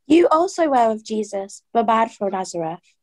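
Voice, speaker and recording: Woman as insistent servant girl, higher pitch, animated accusations, scripture drama. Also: normal speed. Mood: accusing. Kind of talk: amateur dramatized scripture reading.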